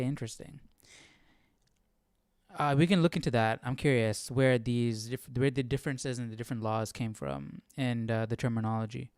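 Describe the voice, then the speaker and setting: A man's voice: mid-range voice, clear, resonant tone, dry recording. A man with a clean, resonant voice hosts an informal study session. He alternates reading aloud with questioning, off-the-cuff remarks and checks for agreement. The sound is dry and close, with little room in it. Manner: questioning, thinking aloud, often seeking agreement. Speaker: host of a livestream Bible study.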